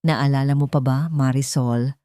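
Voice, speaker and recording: A woman's voice: a bass voice, steady tone, moderate pace, warm tone, no room sound, studio quality, voice pushed down. A woman with a Filipino accent voicing a devoted, earnest billionaire husband and father in an audiobook romance. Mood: fond reminiscing.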